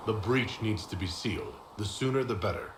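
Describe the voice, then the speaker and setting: A man's voice: on the low side, fairly quick, noisy background, close to an everyday voice. An actor playing a stern, resolute male hero in a video game, direct, formal and serious. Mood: grave. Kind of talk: video game dialogue acting. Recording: roomy echo, loud music behind.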